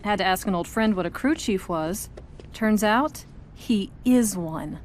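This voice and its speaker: An actress playing an easygoing, quirky paint shop owner in a video game, chatty and wry. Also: female voice, mid-range voice, expressive, a little slow, over music, natural voice.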